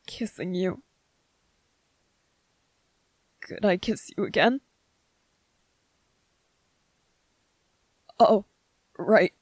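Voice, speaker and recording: Anxious, earnest young man, played by a woman, slow and halting, close dry sound, ASMR audio drama. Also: mid-range voice, darkish tone, dry recording. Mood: shy, tender. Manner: halting, stammering, repeating phrases. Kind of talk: ASMR roleplay audio drama, spoken to the listener.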